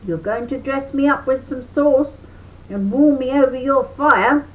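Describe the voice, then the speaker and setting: Storybook part: a shrill, cheeky trickster rabbit who hollers, read aloud by an older woman in a squeaky raised voice. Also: a little slow, a little mellow, low-quality recording. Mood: sly. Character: cheeky, hot-tempered male trickster rabbit.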